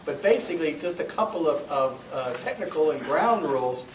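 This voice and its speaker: A man speaking as club president, giving an informal welcome and announcements in a halting, rambling, self-correcting way. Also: a little high, animated. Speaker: club president hosting a meeting. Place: meeting room, recorded from a distance. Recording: roomy, distant mic, faint noise.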